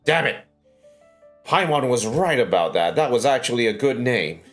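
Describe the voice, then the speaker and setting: A man's voice: medium pitch, very animated, normal speed. A man commenting casually on a game livestream, with hesitant, muttered reactions. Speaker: game streamer. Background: game music.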